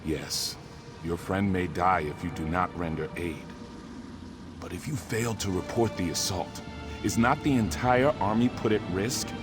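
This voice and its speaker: An actor playing an ancient, wise and haunted warrior spirit in a video game, speaking in a grave, measured, formal way. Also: male voice, low-pitched. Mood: pensive, testing. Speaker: game character posing moral tests. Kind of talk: scripted video game voice acting. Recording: music under the voice.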